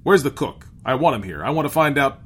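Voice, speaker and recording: A man's voice: mid-range voice, big pitch swings, fairly quick, a little hiss or hum, pitched above the performer's own voice, lightly acted. A blustering spaceship captain from a science fiction storybook, played by a man reading aloud. He is blunt and bullying, and his raised, pushed voice makes every line a demand. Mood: brisk, appraising. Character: brash, gruff, bullying male spaceship captain.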